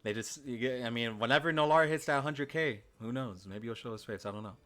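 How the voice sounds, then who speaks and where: A man's voice: mid-range voice, fairly quick, fairly bright tone. A young man hosting a podcast, chatting casually with an enthusiastic, laid-back manner. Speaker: podcast host.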